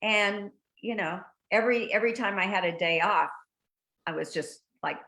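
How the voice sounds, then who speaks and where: An older woman, a guest actress, chatting in an interview over a video call, telling lively stories and laughing often. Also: mid-range voice, moderate pace. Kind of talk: casual interview chat.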